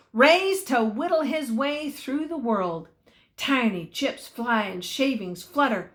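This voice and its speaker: An older woman giving an expressive, dramatic reading of a folksy Christmas story, savouring repeated phrases. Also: on the high side.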